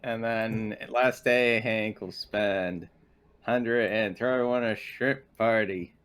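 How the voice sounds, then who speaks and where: A man's voice: fairly low pitch, steady tone, slow pace, a bit bright, clean tone. A man chatting casually as a player in a tabletop game.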